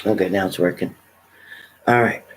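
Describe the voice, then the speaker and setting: A man's voice: low-pitched, steady tone, normal speed, slight background noise. A man hosting a livestream, chatting casually and informally with viewers as he goes. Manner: informal, addresses viewers by name. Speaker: livestream host. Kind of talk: casual live chat with viewers.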